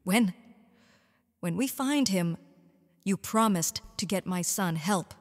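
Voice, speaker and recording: A woman's voice: lowish voice, expressive, slow. A woman acting a bitter, betrayed wife turned tyrant's informant in an audio drama, resentful and earnest. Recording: long reverberant hall.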